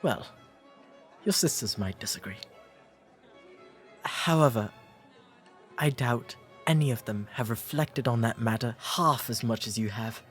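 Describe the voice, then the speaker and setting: A man acts out a shy, courteous nineteenth-century gentleman with an English accent for a romantic roleplay drama. His pitch is average and his diction is formal and old-fashioned, delivered with gentle hesitancy. Soft music plays faintly behind him. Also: a little slow, pauses often, natural voice. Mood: earnest, polite.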